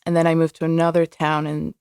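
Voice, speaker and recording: A woman's voice: deep voice, monotone, fast, darkish tone, smooth, dry recording, studio quality. A young woman whose first language is Hebrew, a podcast guest talking candidly and reflectively about her past. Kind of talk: candid personal interview.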